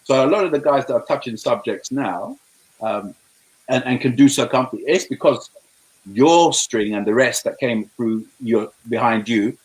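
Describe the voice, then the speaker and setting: A man in his late fifties speaks earnestly at a mid pitch. He often restarts his sentences and circles back before finding his point. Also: low-quality recording. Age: 50s. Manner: earnest, halting, many restarts. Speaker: comedian guest. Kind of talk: casual chat.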